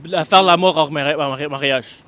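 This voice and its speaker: High-voiced man calmly probing. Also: normal speed, slight background noise. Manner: calm, probing, restates the other's claims. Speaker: street interviewer. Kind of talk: street interview questioning.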